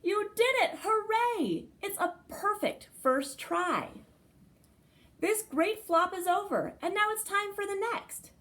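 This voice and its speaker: Woman voicing a spirited, high-voiced elderly storybook great-aunt. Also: expressive, takes it slowly, fairly bright tone, heavily acted. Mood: jubilant, encouraging.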